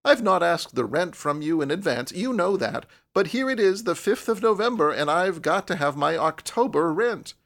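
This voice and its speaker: Man voicing a high, scolding landlady. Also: sing-song, normal speed, creaky, few pauses, a bit bright, heavily acted. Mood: scolding, insistent. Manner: insistent, complaining. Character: scolding, impatient landlady, poor and insistent woman. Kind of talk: audiobook character voice.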